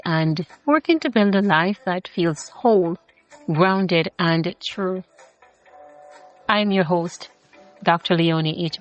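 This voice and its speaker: A middle-aged woman from Jamaica with a Jamaican accent, hosting a podcast introduction in a measured, deliberate way, with rhythmic lists of words. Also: on the low side, muffled recording. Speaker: podcast host.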